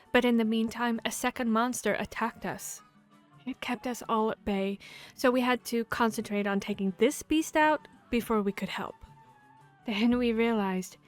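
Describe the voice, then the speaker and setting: A woman playing a boastful, playful vampire hunter in a fantasy audio roleplay, speaking in a theatrical, expressive storytelling manner. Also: fairly high pitch, moderate pace, natural voice. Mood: dramatic, proud. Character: boastful, playful female vampire hunter telling tavern tales. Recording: music behind the voice. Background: background music, tavern ambience.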